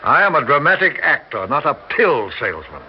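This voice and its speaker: A man's voice: a little high, very animated, fairly quick. An actor in his sixties playing a proud, theatrical aging stage actor with grand, elaborate diction in a vintage radio drama. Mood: haughty. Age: 60s. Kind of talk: 1960s radio drama acting. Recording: dull lo-fi vintage radio with faint hiss.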